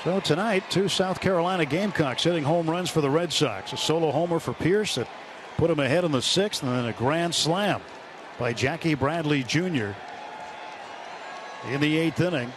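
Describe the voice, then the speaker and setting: Male baseball radio announcer, higher-register voice, live play-by-play over clear ballpark noise. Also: normal speed, a crowd in the background. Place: broadcast booth at a ballpark. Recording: noisy stadium radio broadcast. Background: steady ballpark noise.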